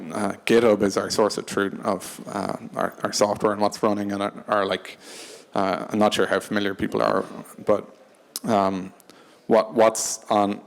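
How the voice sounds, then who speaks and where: A man speaking unscripted on a discussion panel, hesitant and halting, often restarting his phrases. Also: lowish voice, vocal fry. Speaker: panelist from a tech company.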